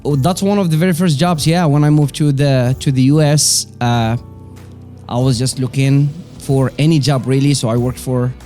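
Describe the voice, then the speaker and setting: Man in his forties, Moroccan accent, native Arabic and French, relaxed dark tone, casual storytelling, faint music. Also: medium pitch, normal speed. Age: 40s. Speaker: interview guest, business owner.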